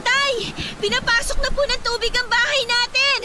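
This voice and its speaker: A woman's voice: very high voice, steady tone, moderate pace. A Filipino woman playing an anxious, whiny teenage daughter in a radio drama, pleading and complaining dramatically. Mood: alarmed. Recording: loud storm ambience behind.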